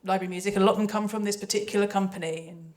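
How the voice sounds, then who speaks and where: A woman's voice: medium pitch, steady tone, very fast, darkish tone. A woman speaking on a panel as a composer and sound designer, chatty, hesitant and often interrupting herself. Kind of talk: panel talk about creative work.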